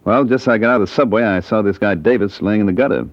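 Actor as a low-voiced, cocky small-time piano man. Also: racing, no room sound, lightly acted. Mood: casual. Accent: American. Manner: slangy, glib. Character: cocky small-time jazz pianist turned blackmailer. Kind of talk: 1940s-50s American radio detective drama acting. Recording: dull old radio drama recording.

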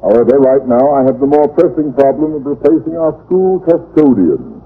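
Stuffy blustering middle-aged principal, husky voice swooping up and down, tinny old radio comedy. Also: male voice, mid-range voice, lively intonation, moderate pace. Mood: pompous. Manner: pompous, formal, exasperated enunciation. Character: pompous, miserly, blustering middle-aged male school principal. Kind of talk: 1940s-50s radio comedy acting. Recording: muffled, narrow-band old radio recording.

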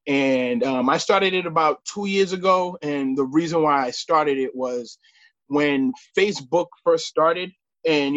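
A man's voice: medium pitch, a bit bright, dry recording, highs cut off. A man in his late thirties from the United States, chatting casually as an interview guest and often repeating his words. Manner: halting, repeats words and phrases. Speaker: podcast guest, sports referee.

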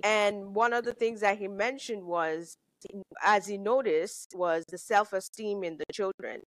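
A woman co-hosting a podcast, responding to a guest in a thoughtful, measured way. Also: mid-range voice, no room sound, low-quality recording. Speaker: podcast co-host. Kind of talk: casual interview talk.

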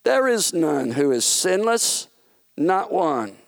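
A man voicing a majestic, loving yet stern male God who speaks in slow, solemn proclamations. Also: fairly high pitch, sing-song, vocal fry, dry recording, studio quality, pitched above the performer's own voice, exaggerated voice. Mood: commanding.

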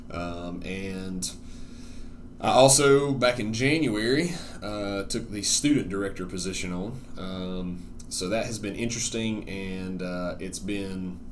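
A young man with a deep, low voice talks easily on a podcast about his church work. He often pauses, backtracks and fills gaps with ums. A faint hiss sits under the recording. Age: young adult. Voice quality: relatively deep voice. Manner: relaxed, halting, many ums and restarts. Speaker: church worship director and teacher. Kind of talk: casual podcast conversation.

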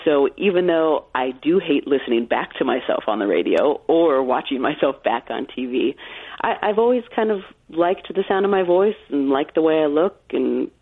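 A woman with a low voice talks frankly about her work as a sportscaster, laughing easily as she goes. She comes through a narrow, phone-like line. The sound is dry and close, with no room around it. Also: lively intonation, moderate pace, slightly rough, no echo. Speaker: play-by-play sportscaster, guest. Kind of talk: candid interview answers.

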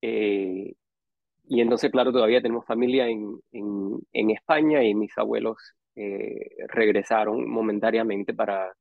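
Man, 40s, Cuban accent. Casual interview storyteller who pauses to find words. Muffled narrow-band, dry sound. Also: fairly low pitch, fairly even intonation, vocal fry, no room sound. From Cuba, raised partly in Costa Rica and Miami. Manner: hesitant, searching for words with long pauses. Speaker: interview guest. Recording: dull, band-limited dry audio.

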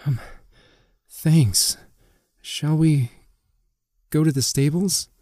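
A man's voice: fairly high pitch, extremely slow, warm tone, no echo, studio quality, voice pushed up. A man voicing a plucky, light-voiced stable girl. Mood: shaken, friendly. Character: plucky young woman of the stables, play-acting, friendly.

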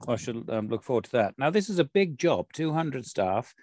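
A man's voice: mid-range voice, sing-song, fairly quick, a little mellow, dry recording, studio quality. A man with a Welsh accent interviewing a guest in a chatty, meandering way with lots of fillers. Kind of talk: conversational interview.

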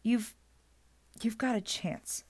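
A woman's voice: mid-range voice, lively intonation, normal speed, no echo, lightly acted. A woman voicing a bossy, brainy, determined young girl, urgent and precise, in an audiobook adventure. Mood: insistent.

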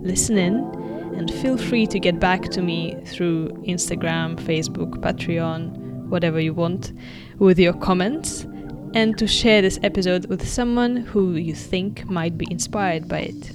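A woman's voice: on the low side, unhurried, few pauses, music in the background. A woman hosting a podcast, reading a welcome intro and then chatting with her guest in a friendly, easy way. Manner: friendly, laughs easily, short backchannels. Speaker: podcast host. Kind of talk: podcast intro and casual interview.

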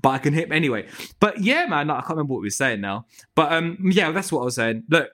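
A man's voice: fairly high pitch, quick pace. A man, a tree surgery business owner, chatting casually on a podcast with restarts and swearing. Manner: animated, swears freely, lots of restarts.

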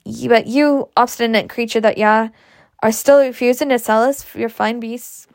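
A woman voicing a blustering, coaxing gentleman planter in a storybook, hearty and wheedling. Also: on the high side, fairly even intonation, moderate pace, creaky, dry recording, studio quality. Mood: pleading, exasperated.

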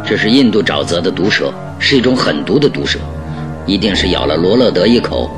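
A shrewd, composed detective lays out his reasoning in a radio drama. His voice is animated and authoritative, with deliberate explanations over faint music. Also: sits low. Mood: grave.

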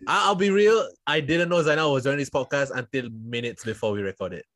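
A man's voice: medium pitch, a little fast, fairly bright tone. A man from Singapore, hosting a podcast in easy, teasing banter. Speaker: podcast host. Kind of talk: casual chat.

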